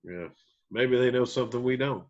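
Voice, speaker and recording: A man's voice: medium pitch, moderate pace, vocal fry, dull audio, close to an everyday voice. A man playing a weathered, wry, guarded older country bandleader, folksy and wistful, in a screenplay table read.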